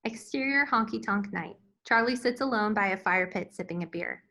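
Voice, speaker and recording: A woman's voice: medium pitch, normal speed, bright, forceful tone. A woman reading scene headings and stage directions as the narrator of a screenplay table read.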